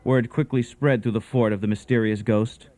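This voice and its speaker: A man's voice: on the low side, steady tone, normal speed, a little mellow, soft background music. A man narrating a ghost story for radio theatre in a grave, portentous, scripted style.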